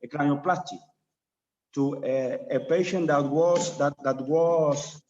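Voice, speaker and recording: A young Cuban man with a Spanish accent asks a question on a webinar, halting and repeating his words as he searches for English phrasing. His voice is fairly high. The call audio is dull and lo-fi. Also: fairly even intonation, darkish tone, a little hiss or hum. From Cuba. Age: young adult. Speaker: neurosurgeon asking a question. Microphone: video call.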